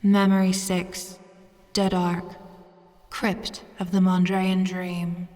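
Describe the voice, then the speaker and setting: A woman announcer delivering scripted, dramatic podcast narration in a solemn, deliberate manner. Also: lowish voice, completely flat delivery, warm tone.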